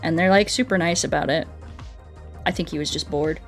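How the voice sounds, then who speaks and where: A woman's voice: sits low, a little fast. A young American woman chatting casually on a livestream, rambling along and acting out bits of what people said. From United States. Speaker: streamer. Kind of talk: casual livestream chat while gaming. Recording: music playing behind the voice. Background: background music.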